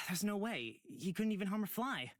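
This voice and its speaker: A man's voice: sits high, very animated, normal speed, fairly bright tone, no room sound, clean studio sound. A man playing an earnest, protective, trusting young character in a fantasy visual novel. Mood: defensive.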